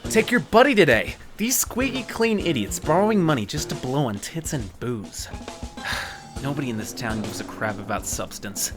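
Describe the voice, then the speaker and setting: An actor playing a slick, talkative, cocky young yakuza in a video game fan dub, over guitar music. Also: male voice, mid-range voice, sing-song, normal speed. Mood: chummy, boastful. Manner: cocky, chatty, casual.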